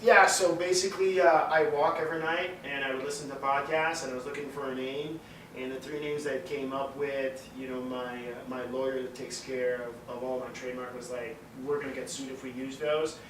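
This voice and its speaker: A man's voice: medium pitch, level tone, a little fast, noisy background. Man, echoey distant mic. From Montreal, Quebec, Canada. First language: French. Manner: rambling storyteller, frequent fillers and swearing. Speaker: guest, distillery founder. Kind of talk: casual podcast interview.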